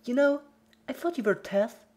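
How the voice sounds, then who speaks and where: High, manic cartoon pony villain. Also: female voice, expressive, moderate pace, darkish tone. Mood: pouty, indignant. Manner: bubbly, chatty, petulant scolding. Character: manic, cheerful, scolding young female cartoon pony villain.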